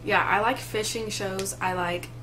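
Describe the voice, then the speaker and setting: A woman's voice: mid-range voice, fairly even intonation, unhurried, sharp tone, a little hiss or hum. A woman in her twenties chatting casually to a webcam in a small room, with lots of "like" and "um" fillers. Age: 20s. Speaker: co-host. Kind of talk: casual chat. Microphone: webcam at desk distance.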